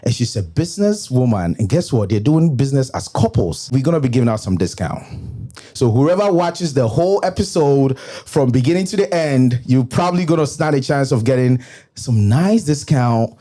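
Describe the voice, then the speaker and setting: A male podcast host in casual interview chat. He is animated and repeats phrases for emphasis. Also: medium pitch, normal speed.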